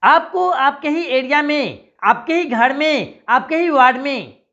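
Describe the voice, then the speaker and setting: A man promoting a job scheme, pitching straight to viewers and answering their imagined questions with repetition. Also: lively intonation, a little slow, pushed and bright, dull audio.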